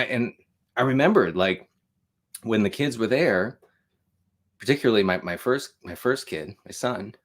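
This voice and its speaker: An American man aged forty hosting a casual livestream monologue, rambling and repeating himself with wry, self-deprecating asides. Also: fairly low pitch. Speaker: host of a live show on fatherhood.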